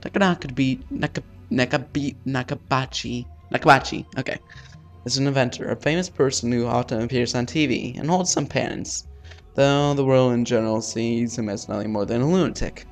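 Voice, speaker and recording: A man's voice: mid-range voice, normal speed. A man hosting a let's play, reading a visual novel aloud casually, stumbling over names and swearing offhandedly in his asides. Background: music.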